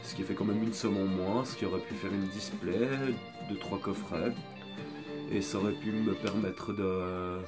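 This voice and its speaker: A man with a cold and a sore throat, talking in a hesitant, rambling way in a casual apologetic video, filmed on a phone. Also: on the low side. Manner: hesitant, rambling, many pauses. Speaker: video creator. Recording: background music playing.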